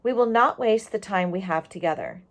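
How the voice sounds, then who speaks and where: A woman reading the part of a wise, calm old great-grandfather, speaking in a measured, resolute way in a storybook. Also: medium pitch, natural voice. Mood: gentle, resolute. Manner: measured, resolute, addressing family.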